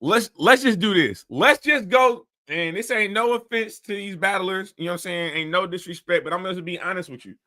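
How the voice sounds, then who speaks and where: Male host, high bright voice, blunt rambling commentary. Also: a little fast, few pauses. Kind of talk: casual commentary.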